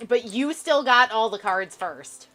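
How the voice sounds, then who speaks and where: A woman's voice: fairly high pitch, expressive, a little slow, bright, forceful tone, soft background music. A woman streaming a co-op game live, bantering with her co-player in a dry, sarcastic way. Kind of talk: casual gameplay chat with a co-player.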